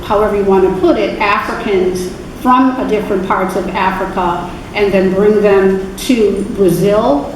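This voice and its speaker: A woman giving an informal history talk to an adult church class, unhurried, with many fillers and self-corrections. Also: mid-range voice. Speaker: guest speaker at adult faith class. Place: church meeting room. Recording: very echoey, distant, noisy room recording.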